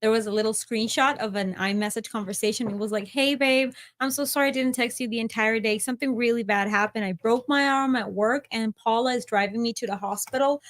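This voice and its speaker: A woman's voice: fairly high pitch, a little fast, few pauses. A young woman co-hosting a casual podcast, teasing and swearing as she tells stories with animation. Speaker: podcast co-host. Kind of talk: casual livestream chat.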